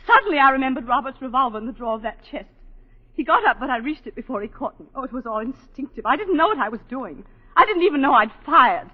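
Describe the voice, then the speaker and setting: An actress playing a self-possessed, indignant planter's wife in a 1940s radio drama, telling her story in a halting, theatrical, emotive way. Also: high-pitched, slightly rough, exaggerated voice. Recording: dull 1940s radio broadcast, long reverb.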